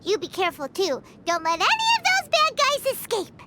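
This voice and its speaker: Very high-pitched fairy companion, chirpy, bubbly, wildly swooping voice, game music behind. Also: female voice, wildly swooping pitch, normal speed, very noisy, heavily acted. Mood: eager. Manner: bubbly, speaks of herself by name. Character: tiny floating female companion, chirpy and excitable. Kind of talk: video game voice acting.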